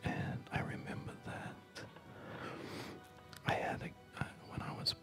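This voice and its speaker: Man, soft breathy whisper, very slow and gentle, hesitant pauses, ASMR game commentary, clear background music. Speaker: ASMR video creator.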